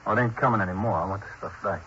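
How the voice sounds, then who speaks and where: A quick, tense American male voice on the low side, playing an impatient small-time robber for old-time radio. He is blunt, slangy and demanding. The recording is slightly muffled and hissy. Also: pushed and bright. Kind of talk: 1950s radio crime drama acting. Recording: muffled, faintly hissy 1950s radio broadcast.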